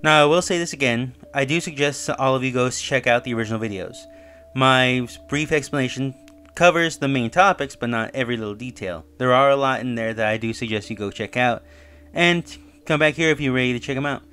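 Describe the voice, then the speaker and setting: A man's voice: mid-range voice, a little fast, bright, forceful tone, clear, resonant tone, faint music behind. A man hosting his own channel, casually recapping and reviewing a horror web series, talking loosely with fillers and self-corrections.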